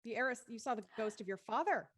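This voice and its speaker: A woman's voice: fairly high pitch, expressive, quick pace, a bit bright, no echo, studio quality. A woman hosting and moderating a casual group discussion. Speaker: host and moderator of a cast discussion.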